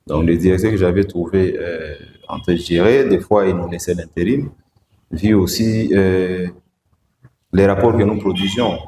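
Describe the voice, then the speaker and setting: Deep-voiced man, echoey room. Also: warm tone. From Democratic Republic of the Congo. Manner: measured, hesitant, frequent "euh" fillers. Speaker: guest, provincial road-safety director. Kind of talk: studio interview answers. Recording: echoey room, faint background noise.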